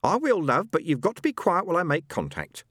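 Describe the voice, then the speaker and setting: A man's voice: on the high side, wildly swooping pitch, fairly quick, a bit bright, no room sound, clean studio sound, pitched above the performer's own voice, full character voice. A man voicing a fussy middle-aged woman who works as a spirit medium, chatty and sweetly bossy. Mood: soothing, theatrical.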